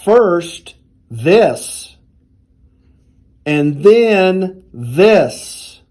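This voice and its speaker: A man reading a children's storybook aloud in a deliberate, expressive storytelling manner. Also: high-pitched. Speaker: university athletics director reading aloud.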